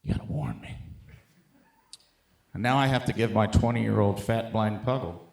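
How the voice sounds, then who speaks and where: A man performing a profane stand-up set, telling animated stories with acted-out bits. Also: on the low side, moderate pace, creaky. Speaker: stand-up comedian, special guest. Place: live show on a stage before an audience. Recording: roomy live stage sound.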